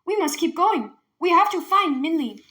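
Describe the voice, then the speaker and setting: A woman's voice: very high-pitched, animated, moderate pace, voice pushed up, heavily acted. Woman acting a fierce, scolding storybook mother. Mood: fierce, angry. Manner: sharp, scolding, emphatic. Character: fierce, bitter mother, anxious wife searching for her daughter.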